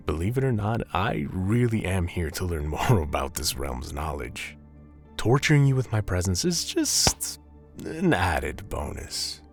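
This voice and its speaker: Smug demon student played by a man: low, unhurried, teasingly polite, music underneath. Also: big pitch swings, exaggerated voice. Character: smug, suave male demon posing as a student. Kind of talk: scripted animated roleplay acting.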